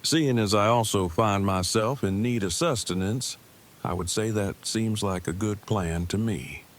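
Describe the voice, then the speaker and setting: A man's voice: fairly low pitch, unhurried, a little hiss or hum, lightly acted. A man playing an awkward, earnest survivor who talks in stilted, wordy, formal phrases in a fan audio drama. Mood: solemn, formal.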